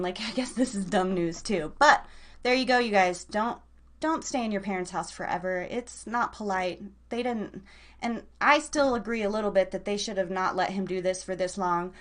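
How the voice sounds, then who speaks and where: A woman hosting a live online radio show, chatting casually in an informal, slangy, rambling way straight to her listeners. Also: mid-range voice.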